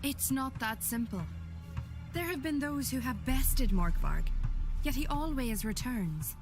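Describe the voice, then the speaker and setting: Solemn, guarded priestess. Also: female voice, high voice, animated, music in the background. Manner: formal, measured. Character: solemn, guarded priestess of a pagan island sanctuary. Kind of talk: fantasy video game acting.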